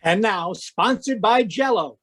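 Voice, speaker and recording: A man's voice: high-pitched, expressive, unhurried, a bit bright, breathy edge, heavily acted. A man announcing an old-time radio mystery show in a grand, declaiming style over a video call. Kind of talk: 1940s radio acting.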